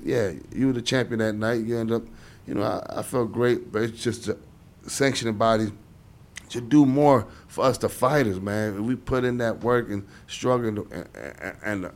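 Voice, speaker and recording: A man in his forties from Chicago, a guest in a casual interview, telling stories in a loose rambling way full of "you know". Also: mid-range voice. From Chicago, USA. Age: 40s. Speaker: interview guest, former boxer.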